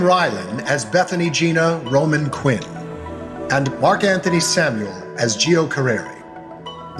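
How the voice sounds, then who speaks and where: A man giving a scripted, polished voice-over for an audio soap opera, as its dramatic announcer. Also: fairly high pitch. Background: music.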